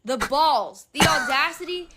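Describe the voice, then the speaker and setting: A woman's voice: high voice, animated, measured pace, noisy background. A woman giving an emotional personal statement in a shaky voice that is close to tears.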